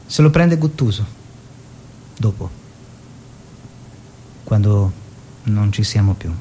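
A man's voice: on the low side, lively intonation, takes it slowly, mellow, a little hiss or hum. An actor playing a genial aristocratic painter husband in an Italian radio drama, telling stories theatrically, mimicking dialogue and drawing out his words. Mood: nostalgic. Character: Nino, genial aristocratic bohemian painter husband, fond raconteur. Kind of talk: Italian stage-style radio drama, narrated recollection.

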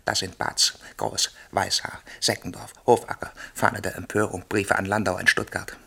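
An actor playing an obsequious, scheming informer, formal and officious, in a German radio drama. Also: male voice, sits low, level tone, normal speed, gravelly, bright, forceful tone, husky, heavily acted. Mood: officious, servile. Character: obsequious, scheming male informer denouncing conspirators.

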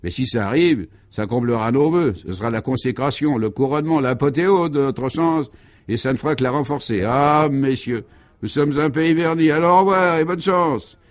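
A man's voice: medium pitch, moderate pace, close to an everyday voice. A man playing a blissfully cheerful ragged townsman. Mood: exalted. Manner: emphatic, delighted, polite.

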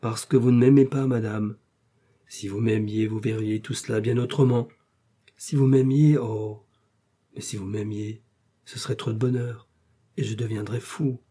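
Man playing romantic duke, very mellow dark timbre, husky, fervent, theatrical, pleading, melancholy, storybook drama. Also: fairly low pitch, steady tone, normal speed. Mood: yearning. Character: passionate, lovestruck English duke, reckless and melancholy.

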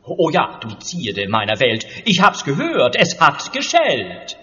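An actor playing a doddering, hard-of-hearing husband who declaims rhymes in a theatrical stage comedy. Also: male voice, fairly high pitch, huge pitch swings, slow pace, pushed and bright, exaggerated voice. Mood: pompous, befuddled. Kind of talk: stage comedy within audio drama. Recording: reverberant theatre hall, dull audio.

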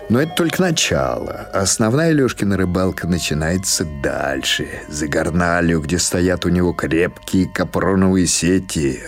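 Deep-ish guy reading a story, expressive audiobook style, takes his time telling it. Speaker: audiobook narrator. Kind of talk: expressive literary prose narration.

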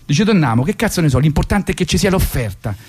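A man's voice: a little high, big pitch swings, fast talker, a little mellow, breathy edge. A man with a Roman accent performs a wry spoken monologue in a colloquial register. He leans on repetition for emphasis, like a storyteller hosting on local radio. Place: radio studio.

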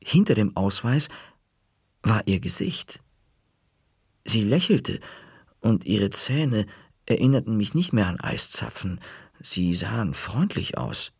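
A man narrating a children's story in a lively, expressive storybook reading with shifting pitch. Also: slow, gravelly, long pauses, breathy edge, dry recording, studio quality.

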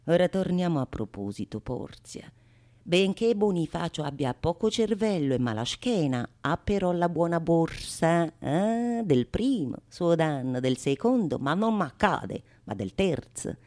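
Slow, low-voiced, scornful scheming courtesan. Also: female voice, very animated. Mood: shrewd, calculating. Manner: declamatory, sardonic, swinging from sung refrains to hushed asides. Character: shrewd, mocking, calculating courtesan scorning her besotted suitor. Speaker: stage actress performing solo. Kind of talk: theatrical monologue in a radio play.

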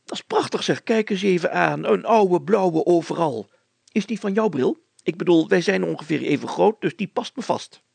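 A man voicing an excitable, stubborn, self-satisfied small-town mayor with a blustery manner in a story. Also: high-pitched, normal speed, creaky, breathy edge, dry recording, voice pushed up, full character voice. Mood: delighted.

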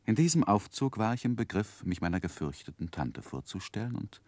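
An earnest narrator looking back on his boyhood in a 1960s radio drama. The voice is very low yet moves expressively, with measured, literary phrasing and a close, dry sound. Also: sing-song, darkish tone, no room sound. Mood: reflective. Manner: measured, reflective, literary storytelling. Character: earnest grown man narrating his own boyhood memoir.

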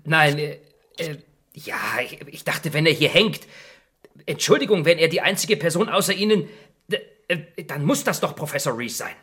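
An actor playing a nervous, apologetic man caught up in a German radio crime mystery, husky, hesitant and stammering. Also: a little high, expressive, normal speed, fairly bright tone. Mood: flustered, evasive.

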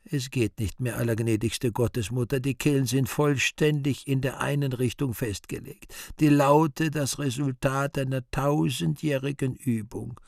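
A man's voice: medium pitch, steady tone, moderate pace, hardly any pauses, a little mellow, no echo, close to an everyday voice. Miserable hungry teacher guy acting, choked voice, servile and whiny, radio drama, dry sound. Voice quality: husky, choked voice. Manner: pitiful, deferential. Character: gaunt, hungry, embittered male schoolteacher, miserable and servile.

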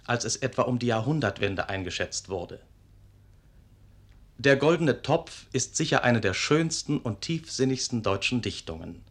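A man's voice: medium pitch, fairly bright tone. A man giving a scripted literary introduction on radio in a formal, lecturing manner. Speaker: presenter introducing a radio play.

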